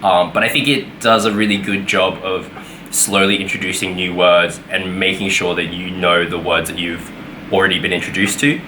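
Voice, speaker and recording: Low-voiced man, casual vlog. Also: little pitch movement, normal speed, background noise. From Australia. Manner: rambling, filler-heavy, self-correcting. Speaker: vlogger. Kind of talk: casual weekly vlog update.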